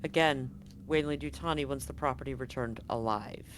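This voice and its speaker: A man's voice: mid-range voice, normal speed, a bit bright, lightly acted. A man playing a cold, arrogant female corporate agent in a tabletop game, speaking with dismissive control. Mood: smug. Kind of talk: tabletop roleplay acting. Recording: live stream with background noise.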